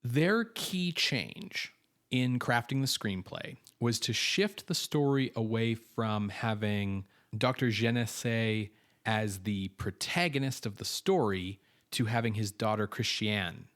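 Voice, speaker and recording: A man's voice: fairly low pitch, slow pace, a bit bright. A man co-hosting a film podcast, reading background notes in an informative, casual way with frequent fillers. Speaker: podcast co-host.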